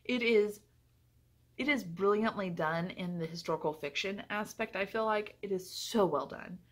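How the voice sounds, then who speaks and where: Woman, medium pitch, casual book vlogger, chatty, effusive, emphatic repetition. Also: normal speed, a bit bright. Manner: effusive, emphatic, often repeats phrases for emphasis. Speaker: book reviewer.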